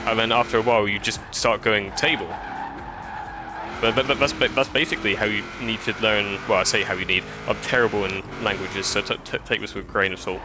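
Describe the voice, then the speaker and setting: Low-voiced man chatting while gaming. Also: steady tone. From Scotland. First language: English. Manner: halting, often repeats words while restarting sentences. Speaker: racing game streamer. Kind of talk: casual chat while live streaming a racing game. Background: music and race car sounds.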